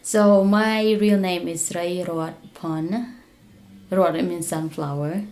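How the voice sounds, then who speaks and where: A young woman from Cambodia, answering interview questions in a halting way and searching for words. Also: on the low side, steady tone, darkish tone. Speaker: guest, business founder. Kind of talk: casual interview talk.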